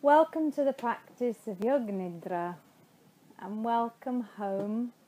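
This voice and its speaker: Slow, high-voiced woman guiding meditation. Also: warm tone, rough recording. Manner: soothing, unhurried, spacious phrasing with pauses. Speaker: yoga nidra teacher. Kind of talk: guided meditation.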